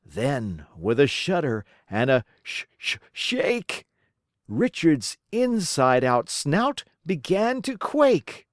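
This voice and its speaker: Children's read-aloud narrator, female, theatrical and rhythmic, very unhurried, lively pitch swings, dry recording. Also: no echo. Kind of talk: expressive children's storybook narration.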